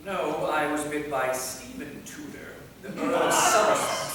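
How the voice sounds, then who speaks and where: A man playing a terse, deadpan immortal knight turned vampire in a comic staged reading. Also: on the high side, measured pace, natural voice. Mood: dry, impatient. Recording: echoey hall from far off, noisy.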